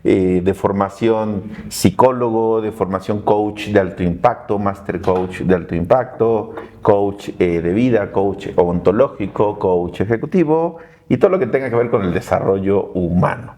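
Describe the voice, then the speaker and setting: A man, a coach who speaks in a measured, reflective way on a podcast. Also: fairly low pitch, warm tone. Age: 40s. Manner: measured, reflective, with many "eh" fillers. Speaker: life coach and psychologist. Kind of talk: casual podcast chat.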